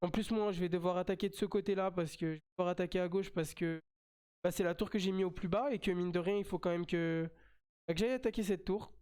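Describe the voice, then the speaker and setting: Smooth-voiced French man streaming a game. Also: high voice, steady tone, quick pace, a little mellow. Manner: chatty, repeats himself while playing. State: has a slight cold. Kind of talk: live mobile game commentary.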